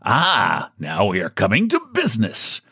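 A sly, shabby little book agent from a storybook, as a male narrator puts him on. His voice swoops widely and has a husky edge, with pompous, mock-courteous phrasing and an air of mystery. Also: lowish voice, huge pitch swings, a little slow, sharp tone, no echo, clean studio sound, heavily acted. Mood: brisk, smug. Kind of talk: storybook character voice.

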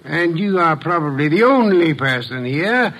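An actor in a 1950s radio drama playing a shrewd, weary, imperious middle-aged detective who speaks deliberately and pompously. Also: male voice, fairly high pitch, animated, a bit bright, low-quality recording. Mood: accusing, composed. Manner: deliberate, pompous, theatrical speech.

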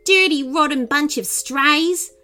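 A woman's voice: mid-range voice, sing-song, normal speed, soft background music, natural voice. A woman voicing a mean, bossy female robber in a children's story, scornful and barking orders. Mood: snapping.

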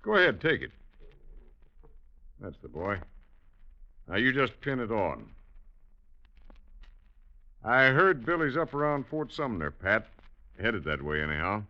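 An actor playing a sly, persuasive Old West authority figure in a radio western, coaxing with deliberate leading questions. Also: male voice, fairly low pitch, big pitch swings, bright, forceful tone, heavily acted. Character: persuasive, sly male authority recruiting a sheriff. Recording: muffled old radio recording.

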